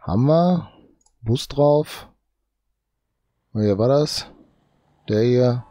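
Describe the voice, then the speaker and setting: A man with a cold, thinking aloud slowly as he comments on his own gameplay, often tagging lines with "ne?". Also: medium pitch, animated, warm tone, dry recording. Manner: muttering, drawn-out, tags lines with "ne?". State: has a cold. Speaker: let's play host.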